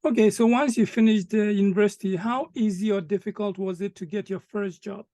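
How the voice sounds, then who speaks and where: A man hosting an interview, asking short questions calmly and acknowledging answers with frequent murmurs. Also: high voice, fairly quick, no echo, studio quality. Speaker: interview host.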